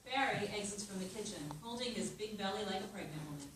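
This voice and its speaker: A woman reading stage directions aloud at a script table read, in a steady, matter-of-fact way. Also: medium pitch, quick pace. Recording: echoey room, far mic, noise.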